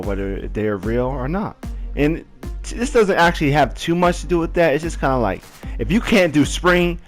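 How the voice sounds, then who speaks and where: Animated young man telling a story. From Southern California. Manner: animated, leaps up high when reliving moments, heavy "like" fillers. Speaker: storyteller vlogger. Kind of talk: casual storytime narration.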